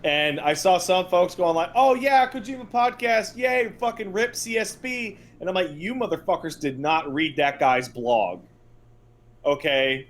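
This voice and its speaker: A man's voice: high voice, measured pace. Blunt, sweary man chatting on a podcast. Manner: blunt, profane, emphatic storytelling. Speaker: podcast co-host. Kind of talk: casual podcast chat about video games.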